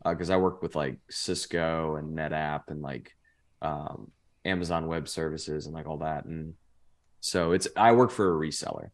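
A man's voice: rumbling low, moderate pace. A young man in his twenties, a podcast guest telling stories in a loose, rambling way full of "like" fillers. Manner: rambling, heavy use of "like" fillers. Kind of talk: casual chat.

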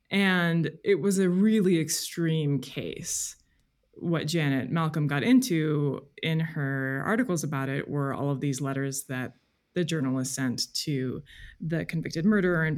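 A woman's voice: low voice, takes it slowly. Woman explaining carefully in an interview. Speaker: guest, freelance journalist. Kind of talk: thoughtful conversational interview answers.

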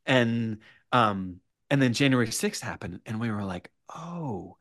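A man speaking as a guest writer in a casual podcast interview, animated and rambling, halting often and swearing freely. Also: mid-range voice.

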